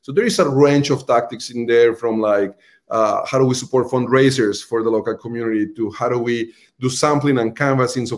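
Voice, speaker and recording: A man with a Colombian accent, a restaurant marketing executive, explaining earnestly as an interview guest, with frequent fillers and repeated words. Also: medium pitch.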